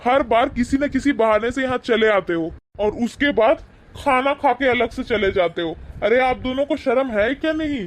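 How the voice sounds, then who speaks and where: A man acts out a young husband in a cartoon moral story, with a very high-pitched voice. He is angry and rude, scolding his wife and in-laws in an indignant, accusing way. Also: expressive, moderate pace. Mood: rude, irritated. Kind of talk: animated moral-story dialogue.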